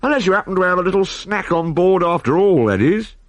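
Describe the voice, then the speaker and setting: Brief: man doing a chirpy, sly little hero, bright raised tone, bouncy delivery. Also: sits high, animated, no room sound, dull audio, pitched above the performer's own voice. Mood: teasing. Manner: brisk, teasing, breezy. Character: small, cheerful, clever Gaulish warrior man.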